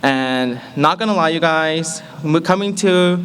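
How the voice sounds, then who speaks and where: A young man in his early twenties with an American accent, giving a humorous personal testimony to an audience in an animated, playful storytelling manner. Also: on the high side, unhurried, a little hiss or hum. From Malaysia.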